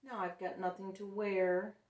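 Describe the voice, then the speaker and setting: A woman's voice: mid-range voice, unhurried, dull audio, lightly acted. Woman voicing an eager little boy cat, echoey. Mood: dejected. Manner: pleading, excitable. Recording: roomy echo, distant microphone.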